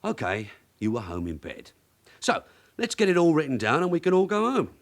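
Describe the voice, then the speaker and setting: An actor playing a shrewd, weary veteran detective sergeant in a radio drama, with a London accent and a blunt, sardonic, authoritative way of questioning. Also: male voice, on the high side, huge pitch swings, a little fast. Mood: sardonic, needling. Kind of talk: naturalistic radio drama acting.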